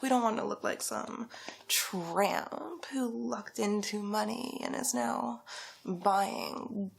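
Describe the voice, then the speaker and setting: A woman playing a haughty, condescending, luxury-obsessed villainess in an ASMR roleplay, with a drawling, theatrical delivery that shifts between breathy whisper and soft creaky speech. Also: fairly high pitch, full character voice. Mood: snobbish, haughty. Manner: drawling, theatrical, condescending, calls listener darling.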